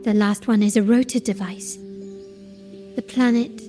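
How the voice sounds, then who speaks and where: A woman playing a composed, refined young investigator in a video game, speaking formally and courteously in a measured, analytical way. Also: medium pitch, fairly even intonation, dark tone, faint music behind, natural voice. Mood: thoughtful. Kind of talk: scripted video game dialogue.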